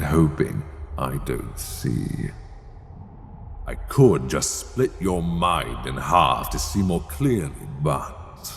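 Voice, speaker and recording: A man performing older male sorcerer, very low creaky voice, silky menace, teasing condescension, fantasy ASMR roleplay, long cavernous reverb. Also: slight background noise, exaggerated voice. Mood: coaxing, threatening. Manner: deliberate, condescending, silky, teasing, formal diction. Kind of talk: slow dark fantasy ASMR roleplay acting.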